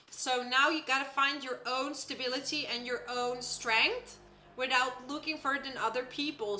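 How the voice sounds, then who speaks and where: A woman's voice: high-pitched, bright, forceful tone, echoey room. A woman from the Netherlands, a native Dutch speaker, hosting a casual live-stream astrology reading at home and often checking in with her viewers by asking "right?".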